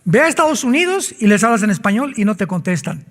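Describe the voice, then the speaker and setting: A man's voice: high-pitched, very animated, fast, fairly bright tone, big-room sound. A man preaching a sermon as a pastor, emphatic and full of rhetorical questions.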